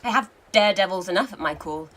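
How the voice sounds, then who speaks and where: A woman in a radio play acting a wry, seasoned male French army commander, with a measured, commanding theatrical delivery. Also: medium pitch, pushed and bright, close to an everyday voice.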